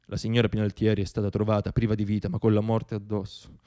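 A man performing a scripted radio theatre monologue as storyteller, with dramatic, deliberate phrasing and pauses. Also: lowish voice, completely flat delivery, dark tone.

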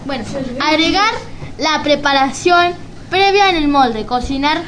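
A woman co-presenting on radio, reading a recipe aloud in a halting, stumbling way. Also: sits high, fairly bright tone. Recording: faint background noise.

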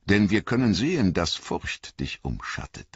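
Noble elf lord, read by a man, slow and solemn, lifted voice, lively. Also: low voice, husky, no echo, highs cut off, pitched above the performer's own voice, heavily acted. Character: ancient noble male elf lord, gracious.

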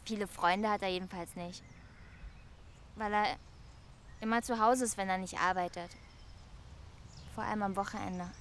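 An actress as a lonely young woman in a German radio play. She muses in a medium-pitched voice, confiding and hesitant, full of shy longing. Faint outdoor ambience sits behind her. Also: fairly even intonation, normal speed, long pauses, a little hiss or hum, close to an everyday voice. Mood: wistful musing. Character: lonely, wistful young woman quietly in love with her neighbour.

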